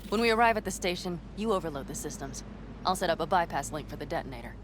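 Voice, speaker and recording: An actress playing a tough, self-assured nomad mercenary in a video game, brisk, confident and plain-spoken. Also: female voice, low voice, animated. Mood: focused. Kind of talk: video game dialogue acting. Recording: vehicle and outdoor noise behind.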